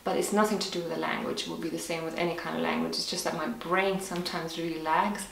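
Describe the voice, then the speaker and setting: This is a woman with a fairly low voice, answering her viewers' questions in a casual vlog. She thinks aloud and explains things step by step. Her voice comes from a little way off the mic in a reverberant room with faint background hiss. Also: fairly even intonation, fast, vocal fry, a bit bright, distant mic. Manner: thoughtful, explains step by step with small restarts. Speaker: health vlogger. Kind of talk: casual Q&A talk to camera.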